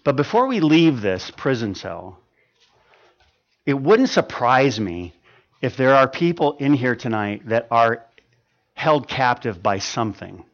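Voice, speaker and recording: Male pastor, 50s, fervent sermon delivery, rising loud then dropping soft, stammers and restarts, slightly muffled lo-fi recording. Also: medium pitch, sing-song, measured pace. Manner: impassioned, swings from loud emphasis to quiet, with stammered restarts.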